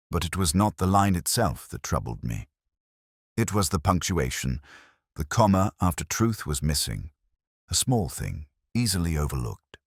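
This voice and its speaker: A man playing a melancholy, pedantic police inspector, narrating a mystery in a measured, literary and brooding way. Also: very deep voice, breathy edge, no room sound, clean studio sound, exaggerated voice. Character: melancholy, pedantic male police inspector recounting an old case. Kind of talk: scripted first-person mystery narration.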